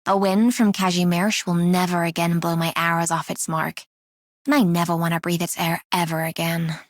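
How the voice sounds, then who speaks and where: A blunt, jaded female archer from a game, played by an actress. Her voice sits on the low side with a bored manner, recorded dry and close. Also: little pitch movement, moderate pace, no echo, lightly acted. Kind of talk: scripted game character voice lines.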